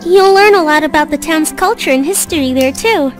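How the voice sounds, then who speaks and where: Woman voicing a very high-pitched shy schoolgirl. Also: brisk, natural voice. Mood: gentle. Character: shy, sweet, polite teenage schoolgirl in an anime game. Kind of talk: visual novel voice acting. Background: game music.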